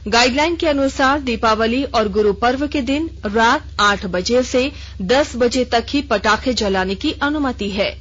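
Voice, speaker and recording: Woman reading a formal radio bulletin. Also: on the high side. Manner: measured, even formal delivery. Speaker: radio newsreader. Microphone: studio microphone. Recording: dull lo-fi radio broadcast.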